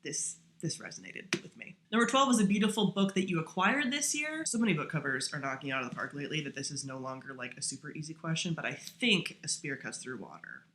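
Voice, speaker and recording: A woman's voice: deep voice, big pitch swings, fast talker, hardly any pauses, fairly bright tone, a little hiss or hum. A woman chatting casually to camera as a book reviewer, informal and slangy, with self-deprecating asides.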